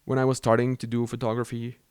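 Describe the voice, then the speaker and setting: A man's voice: mid-range voice, monotone, fast talker, darkish tone, clear, resonant tone, no echo, clean studio sound. A young man from Mexico whose first language is Spanish, rambling casually about his camera gear with many fillers and restarts. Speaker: vlogger.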